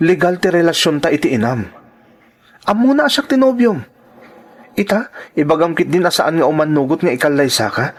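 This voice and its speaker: A man's voice: on the high side, expressive, brisk, pauses often. A man with an Ilocano accent playing an earnest, insistent young boyfriend in an audio drama.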